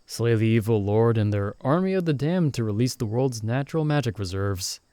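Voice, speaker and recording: Man playing a mellow, caring young mage friend. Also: mid-range voice, animated, normal speed, dry recording, close to an everyday voice. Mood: determined. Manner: casual, chuckling, reassuring. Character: cheerful, caring young male friend, novice mage in fantasy world. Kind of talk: ASMR roleplay, intimate one-sided conversation.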